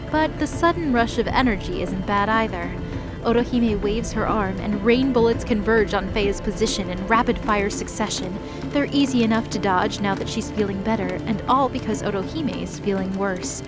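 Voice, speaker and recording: A woman giving scripted narration of a fantasy battle in a steady, measured way. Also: mid-range voice. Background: music.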